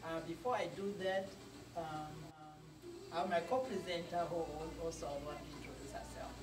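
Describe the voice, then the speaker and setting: A man hosting a studio talk show, speaking haltingly with frequent ums and uhs. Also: on the high side. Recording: roomy, distant mic, noisy.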